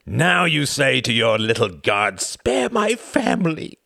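A man voicing an older, cruel and mocking male Nazi count who shouts and cackles. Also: medium pitch, big pitch swings, slow, gravelly, no room sound, clean studio sound, voice pushed up, heavily acted. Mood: sneering.

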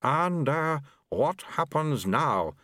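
A man's voice: a little high, very animated, takes it slowly, bright, forceful tone, dry recording, clean studio sound, voice pushed up, heavily acted. A man voicing a flustered senior male monk who stammers and dithers. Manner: stammering, dithering, full of uhs.

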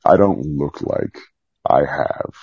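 A man with a low, husky voice chatting candidly in an interview, rambling, self-deprecating and mildly profane. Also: lively intonation, slow pace, no echo, lo-fi sound. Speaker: podcast guest, Navy veteran. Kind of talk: candid casual interview chat.

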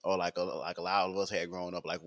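A man's voice: low-pitched, level tone, fast talker, a bit bright. A man, talking in a rambling casual podcast monologue full of fillers and repeats. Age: 20s.

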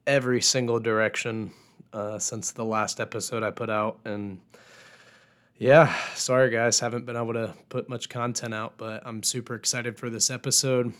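Hoarse young man hosting a podcast. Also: mid-range voice, a bit bright. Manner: casual, filler-heavy, earnest. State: hoarse from recent illness. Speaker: podcast host.

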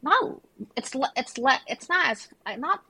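A woman's voice: high voice, animated, unhurried, bright, forceful tone, husky, no echo. A young woman from Indonesia, a podcast guest, talking casually and filling her speech with "like". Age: young adult. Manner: chatty, heavy use of "like" fillers. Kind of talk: casual conversational interview.

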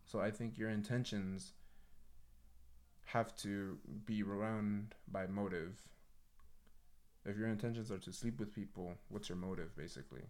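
A man's voice: fairly low pitch, steady tone, measured pace, pauses often, darkish tone. A man hosting a podcast in a casual, conversational way, rambling and correcting himself as he explains. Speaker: podcast host.

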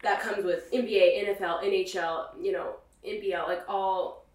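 A young woman from California with a naturally raspy voice, nasal from a cold, co-hosting a casual podcast chat. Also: medium pitch, steady tone, normal speed, echoey room, recorded from a distance, slight background noise, rough recording. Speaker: podcast co-host.

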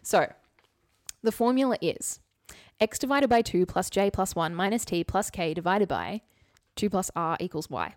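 A woman's voice: medium pitch, big pitch swings, moderate pace, no room sound, studio quality. A woman co-hosting a podcast in casual chat, reading bits aloud with wry, candid asides. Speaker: podcast co-host.

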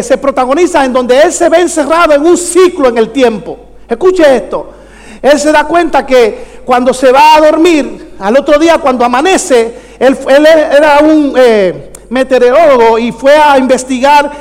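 A man preaching a sermon to a congregation in an exhorting way, repeating phrases and prompting listeners with rhetorical questions. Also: very high voice. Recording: echoey hall with long reverb.

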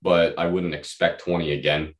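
Male podcast co-host, young, very deep and a touch hoarse, casual sports analysis, recorded roomy and off-mic. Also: little pitch movement, moderate pace. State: slightly hoarse from feeling unwell. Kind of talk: casual fantasy football analysis. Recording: roomy echo, distant from mic.

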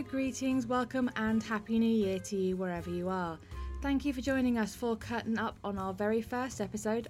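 A woman with a British accent hosting a podcast in a wry, self-deprecating way, partly scripted and partly chatting. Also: mid-range voice. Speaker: podcast host. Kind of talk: scripted introduction and friendly interview.

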